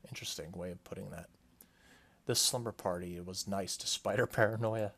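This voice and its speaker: A man's voice: sits low, steady tone, normal speed, creaky, fairly bright tone, slightly rough. A man hosting a gaming video, reading the game's text aloud and breaking off into casual asides. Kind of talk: playthrough commentary mixed with reading game text aloud. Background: game music behind the voice.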